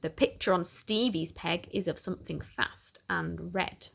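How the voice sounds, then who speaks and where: A woman reading a children's picture book aloud in an animated, expressive way for young listeners. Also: fairly low pitch, a little slow, gravelly. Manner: animated, simple questioning aimed at small children. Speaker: children's story reader.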